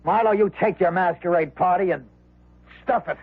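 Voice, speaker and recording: A man's voice: high-pitched, moderate pace, vocal fry, breathy edge, heavily acted. An actor playing a restless, frustrated younger man in an old radio drama, terse and agitated. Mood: indignant. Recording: muffled, narrow-band old radio broadcast.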